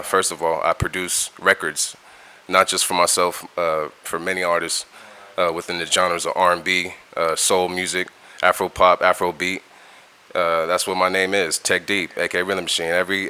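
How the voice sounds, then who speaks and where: A man's voice: low voice, little pitch movement, normal speed, bright, forceful tone, clear, resonant tone. A middle-aged man, a music producer talking in a casual interview, laid-back and slangy, often repeating words as he restarts. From California, United States.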